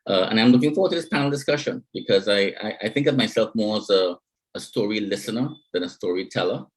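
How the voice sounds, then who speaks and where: A middle-aged man, an executive coach, introducing himself on a panel in a reflective, measured way over a video call. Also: fairly low pitch, breathy edge, rough recording.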